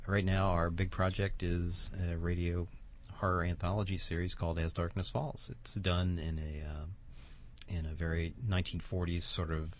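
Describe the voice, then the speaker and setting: A man talking casually and a little haltingly as an interview guest. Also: low voice, little pitch movement. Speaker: guest, radio drama producer.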